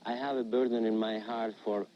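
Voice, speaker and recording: A man's voice: on the low side, little pitch movement, brisk, a little hiss or hum. A man whose first language is Russian, giving an earnest personal testimony in simple phrasing, heard through dull, lo-fi old film sound. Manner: earnest, simple phrasing with small grammar slips. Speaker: interviewee in archive documentary.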